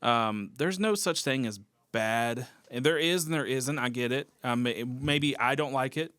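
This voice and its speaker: A man's voice: mid-range voice, a little slow, fairly bright tone, dry recording. A man co-hosting a music radio show, chatting casually in informal, slangy speech. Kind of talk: casual chat between co-hosts.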